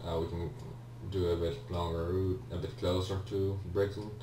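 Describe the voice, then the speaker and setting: A man's voice: low-pitched, little pitch movement, moderate pace, warm tone. A man giving casual video game commentary in a hesitant, rambling way, often correcting himself. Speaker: let's player, video game host. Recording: roomy, distant mic with faint hum.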